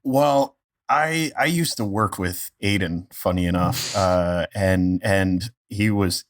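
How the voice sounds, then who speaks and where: A man giving casual interview answers as a guest actor, with a halting, deadpan, joking manner. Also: deep voice, no echo, studio quality.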